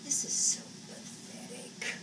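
A woman in her seventies playing a flamboyant, agitated psychic who scolds spirits in a dramatic stage monologue. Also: close to an everyday voice. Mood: bitter, sulking. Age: 70s. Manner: dramatic, exasperated, halting muttering to outbursts. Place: small indoor stage set. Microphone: camera a few metres away. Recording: distant camera recording, noisy room.

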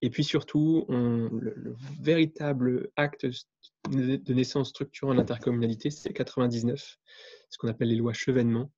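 A man, giving an explanatory webinar talk in a halting, self-correcting way. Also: medium pitch, steady tone, a little mellow, no echo. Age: 30s. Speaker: guest speaker on intercommunal government. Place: indoor room, on a video call. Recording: dull, lo-fi video-call audio.

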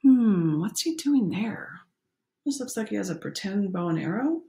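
A woman's voice: mid-range voice, lively intonation, normal speed, creaky, dark tone. A middle-aged woman doing a picture-book read-aloud with chatty commentary, interjecting and putting questions to young listeners. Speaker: coach reading a picture book aloud to children.

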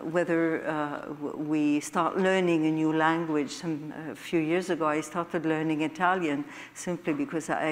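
A woman in her sixties with a Quebec French accent, French her first language, speaking on a discussion panel, full of hesitations and restarts. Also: low-pitched. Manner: halting, many hesitations and restarts. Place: stage in an event hall. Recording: hall reverb.

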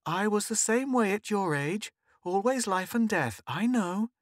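Narrator doing a story mum, higher lilting voice, patient, teasing her daughter a bit. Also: expressive, unhurried, no room sound, studio quality. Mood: amused, curious. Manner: gently teasing, motherly. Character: amused, patient mother of a young girl.

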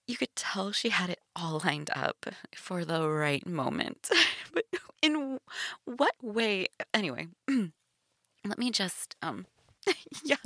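A woman's voice: medium pitch, creaky, fairly bright tone, no room sound, studio quality, heavily acted. A young woman playing a shy, teasing goth stepsister in an intimate audio role-play, casual, hesitant and giggly.

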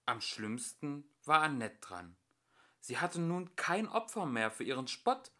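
Lively man reading a children's story. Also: a little high, measured pace. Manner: expressive storytelling with acted voices. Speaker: amateur audiobook reader.

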